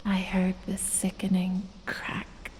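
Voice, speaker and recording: A woman voicing a sinister lamia, a predatory snake-woman, in breathy whispers with a falsely sweet, possessive menace for an ASMR audio roleplay. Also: medium pitch, completely flat delivery, lightly acted. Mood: menacing, boastful. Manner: drawn-out, softly taunting, falsely sweet, possessive. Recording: long cavernous reverb.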